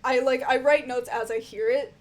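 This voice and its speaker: A woman with a croaky voice, a music reviewer answering viewer questions in a rambling, casual way full of fillers. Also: high-pitched, fairly even intonation, normal speed. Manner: rambling, filler-heavy, frank and swearing. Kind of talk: casual Q&A chat.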